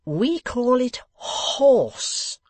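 A reader voicing a pompous, condescending English gentleman host who talks slowly, loudly and overenunciates as if to a deaf child. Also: sits high, very animated, no room sound, pitched above the performer's own voice, full character voice.

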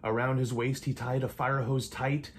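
Older guy reading a rhymey storybook out loud, kinda theatrical and playful, bit of room noise. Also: medium pitch, little pitch movement. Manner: theatrical, measured delivery with playful emphasis. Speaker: reader of a picture book. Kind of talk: rhymed storybook read-aloud. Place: indoors in a home living room.

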